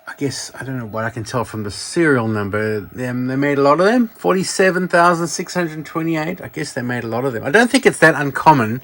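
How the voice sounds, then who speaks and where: A man's voice: medium pitch, a little fast, hardly any pauses, fairly bright tone. A man casually presenting a piece of vintage electronics, rambling informally and correcting himself as he goes. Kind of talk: casual product show-and-tell.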